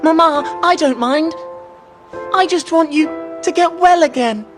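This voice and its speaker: Woman as loving boy of twelve sworn to revenge: very high, earnest, game flashback scored with music. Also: a little slow. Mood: tender. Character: determined, loving twelve-year-old boy vowing revenge. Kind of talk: animated mystery game voice acting. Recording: clear music behind the voice.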